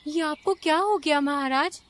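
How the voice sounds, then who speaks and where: A woman voicing a caring, righteous female bird in an animated fable, speaking in an earnest, concerned way. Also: high voice, moderate pace, close to an everyday voice. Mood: suspicious.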